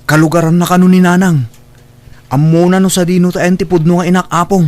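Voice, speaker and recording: A man's voice: sits high, little pitch movement, fast, darkish tone, dry recording, lightly acted. A man playing a devoted, earnest young son in a radio drama, with a pleading manner. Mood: determined.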